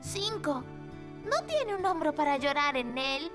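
A woman voicing a sweet, earnest little girl heroine in an animated children's series dub, with expressive, emphatic delivery. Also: high voice, unhurried. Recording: music bed behind the voice.

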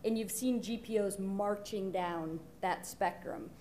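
A woman with a mid-pitched voice speaks in a lively, explanatory way on a conference panel. She uses a table microphone, with a faint hum behind her. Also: unhurried. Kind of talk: panel discussion. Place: conference stage.

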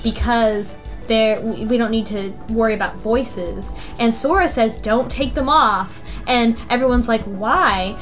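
A woman with a fairly high voice chats casually as a co-host. She retells things with animation, in loose everyday phrasing. Music plays clearly behind her. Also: a little slow, few pauses, fairly bright tone, low-quality recording. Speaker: podcast co-host. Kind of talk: casual book club discussion.

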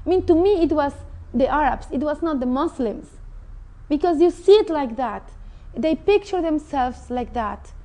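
Mexican spanish accent lady, high warm voice, earnest and emphatic, lapel mic in a tv studio. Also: animated, moderate pace. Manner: earnest, emphatic, speaks with feeling. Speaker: interview guest. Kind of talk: personal testimony. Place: indoor TV studio set.

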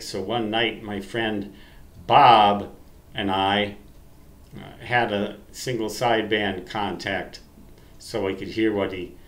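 An American man in his sixties rambling through memories to the camera in a folksy, halting, self-deprecating way, recorded on a webcam mic in a room at home. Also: on the low side, a bit bright, echoey room. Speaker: amateur radio vlogger.

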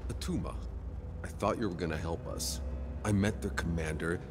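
Low-voiced, determined soldier hero. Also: male voice, moderate pace, vocal fry. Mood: insistent. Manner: casual, direct, terse. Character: determined, plain-spoken male soldier hero. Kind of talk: video game cutscene acting. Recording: music under the voice.

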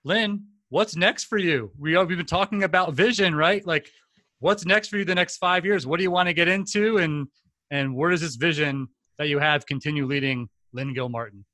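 Enthusiastic male podcast host, bright. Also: on the high side, moderate pace, no echo. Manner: enthusiastic, rambling, many fillers. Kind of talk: casual podcast interview. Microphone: desk condenser mic, video call.

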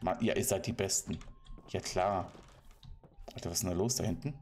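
A man's voice: low voice, brisk, darkish tone, a little hiss or hum. A German man giving casual, playful commentary while playing a game, chatting to the animals and villagers he meets. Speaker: let's play commentator.

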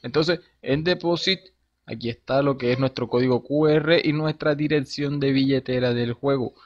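Male tutorial host, informal and direct, mid-pitched, lo-fi desktop mic with light hiss. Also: moderate pace. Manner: informal, addresses viewer directly with slang. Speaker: crypto game tutorial host. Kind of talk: screen-recorded tutorial narration. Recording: dull lo-fi voice with faint hiss.

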